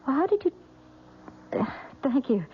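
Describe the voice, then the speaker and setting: A woman's voice: high voice, big pitch swings, normal speed, a little hiss or hum. An actress playing an earnest, emotional young wife and new mother in an old radio drama. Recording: dull old radio broadcast recording.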